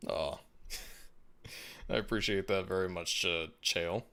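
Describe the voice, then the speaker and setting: Male streamer with a very deep voice and a relaxed, meandering delivery. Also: slow pace, clear, resonant tone. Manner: laid-back and musing, frequent restarts and soft laughs. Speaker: livestream host. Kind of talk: casual livestream chat, reading viewer comments.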